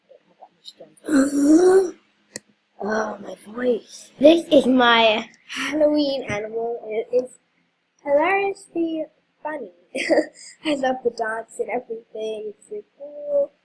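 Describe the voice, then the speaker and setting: A woman's voice: high voice, sing-song, takes it slowly, vocal fry, low-quality recording. A woman hosting a casual vlog, talking hesitantly with many ums while she is losing her voice. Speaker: video host. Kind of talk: casual game walkthrough vlog.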